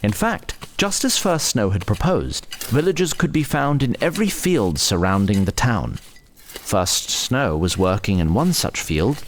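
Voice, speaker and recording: A man's voice: lowish voice, big pitch swings, a little slow, slight background noise. A man playing an erudite, wry, all-knowing narrator who tells a scripted fantasy tale in theatrical fashion. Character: wry, omniscient, erudite male storyteller.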